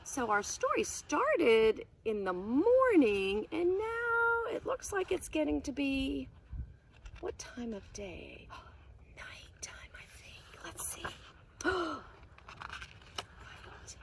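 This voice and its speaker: A woman reading a picture book aloud to preschoolers as their teacher, expressive and sing-song, often hushed, pausing to explain words and ask questions. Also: sits high, vocal fry, slight background noise. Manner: animated, asks questions and explains words to children.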